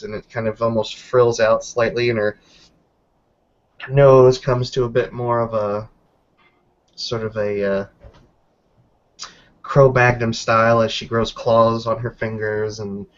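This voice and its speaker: A man's voice: medium pitch, lo-fi sound. A man improvising casual narration as a tabletop roleplaying player, searching for words as he describes things.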